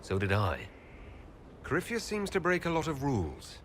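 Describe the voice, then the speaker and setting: A man playing an earnest, thoughtful heroic leader in a video game, with a measured, sincere manner. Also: a little high, wildly swooping pitch, a bit bright, slight background noise. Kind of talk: video game character dialogue.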